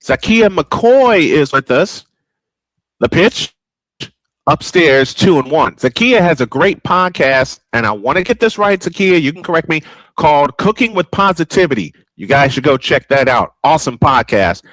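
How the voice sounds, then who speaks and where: A man's voice: fairly high pitch, big pitch swings, normal speed, fairly bright tone, dry recording, poor audio. A man doing old-school baseball play-by-play commentary as the host of a broadcast.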